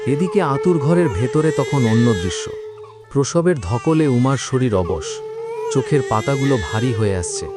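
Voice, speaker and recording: A man reads a scripted horror story with dramatic delivery. His mid-pitched voice moves expressively up and down. Prominent music plays behind him. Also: lively intonation, normal speed. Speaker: narrator. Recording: loud background music.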